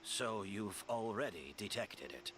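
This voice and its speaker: A grave man from a video game, laying out old secrets in a measured, dramatic delivery. He speaks slowly with lively swings in pitch, over clear background noise. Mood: grave, resigned. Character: grave man confessing a long-kept secret.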